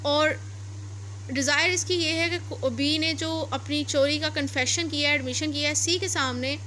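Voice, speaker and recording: A woman's voice: high voice, steady tone, moderate pace, pushed and bright, a little hiss or hum. A woman teaching law, reading passages aloud and then explaining them step by step, repeating and rephrasing her points. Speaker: law lecturer.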